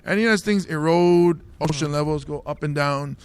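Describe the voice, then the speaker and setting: A man's voice: a little high, moderate pace, clean tone. A man from Samoa hosting a casual comedy podcast, riffing on the news and laughing at his own bits. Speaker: host, stand-up comedian.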